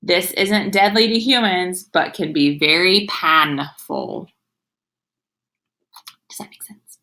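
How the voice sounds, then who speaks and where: A woman's voice: fairly low pitch, slow pace, pushed and bright. A woman teacher reading aloud to young children, explaining as she goes in an instructive way and sounding out words. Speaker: elementary school teacher.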